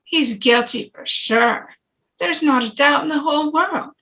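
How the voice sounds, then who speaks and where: A folksy, plain-spoken working man on a jury, self-assured and read by a woman in a high, bright voice. It is an amateur screenplay reading on a dull, lo-fi mic. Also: measured pace, heavily acted. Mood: blunt, chatty. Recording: dull, lo-fi microphone.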